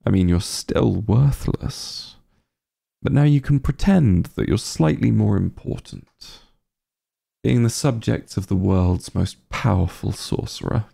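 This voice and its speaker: An evil sorcerer king, acted by a man for an ASMR roleplay, vain and openly disdainful. His voice is dark and mellow, heavily creaky, and he drawls slowly with pompous, sardonic exasperation. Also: fairly low pitch, exaggerated voice. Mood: exasperated disdain. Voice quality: gravelly, breathy.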